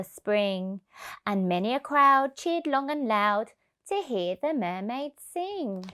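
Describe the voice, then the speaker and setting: A woman reads a rhyming picture book for young children. Her voice is fairly high and very lively in pitch, and she takes it slowly, in an expressive storytelling style.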